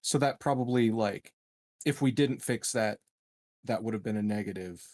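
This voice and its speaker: A man's voice: on the low side, moderate pace, a little mellow. A man casually discussing rules as a player in a tabletop roleplaying game, tentatively thinking aloud.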